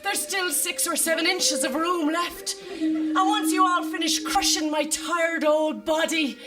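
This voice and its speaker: A woman's voice: extremely high pitch, steady tone, measured pace, distant mic, over loud music. A woman playing a self-pitying, melodramatic older Irish mother in a live sci-fi radio comedy. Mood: martyred, pleading. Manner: melodramatic, long-suffering, fussing.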